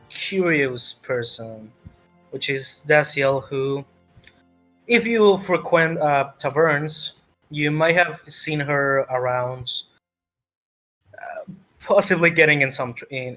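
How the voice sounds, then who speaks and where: A man's voice: on the high side, a bit bright. A man narrating a tabletop game as game master in a casual, improvised way, often searching for words.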